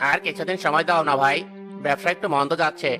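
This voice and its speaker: Man playing fast, bright scheming trader. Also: a little high, fairly even intonation, faint music behind. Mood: wheedling. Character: greedy, scheming village trader and killer, wheedling. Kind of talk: cartoon story acting.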